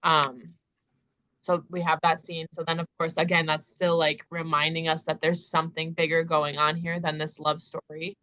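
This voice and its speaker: A woman's voice: deep voice, flat delivery, moderate pace, pushed and bright, no room sound, low-quality recording. A woman hosting a casual book discussion, retelling in an emphatic, conversational way peppered with filler words.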